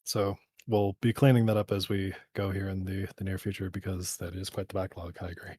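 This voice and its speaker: A man's voice: low-pitched, little pitch movement, brisk, dark tone, slightly rough, dry recording. A man who is a game developer, answering community questions in a casual live Q&A, rambling technically with a voice tired after hours of talking. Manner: rambling, technical, thinking aloud.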